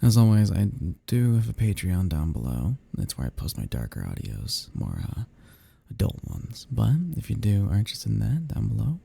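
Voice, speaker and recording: A man's voice: on the low side, wildly swooping pitch, moderate pace, gravelly, warm tone, breathy edge. A man who performs ASMR audio, chatting casually to his listeners in an outro.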